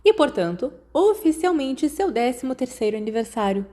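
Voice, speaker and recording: A woman's voice: sits high, very animated, moderate pace, mellow, pitched above the performer's own voice. Woman voicing a breezy Brazilian teenage wizard boy. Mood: mock formal. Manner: breezy, theatrical. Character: confident, playful teenage wizard schoolboy.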